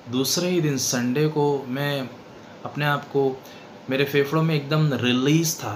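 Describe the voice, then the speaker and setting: A man of 39 with an Indian accent gives an unscripted religious testimony, halting often and switching between two languages. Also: mid-range voice. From western Madhya Pradesh, India. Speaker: seminar participant giving a testimony. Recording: clearly noisy background.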